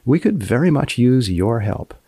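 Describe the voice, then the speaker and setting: A man hosting a podcast, reading scripted narration in a measured, deliberate, dryly deadpan way. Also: medium pitch, slightly rough, no echo, clean studio sound. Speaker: podcast host.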